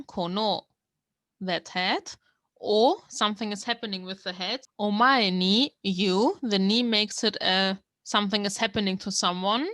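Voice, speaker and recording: A woman from Germany, hosting a language channel and slowly translating manga lines word by word. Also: mid-range voice, fairly bright tone, dry recording, studio quality. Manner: slow, careful explanation of words. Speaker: language-learning channel host. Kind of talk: reading and translating manga line by line.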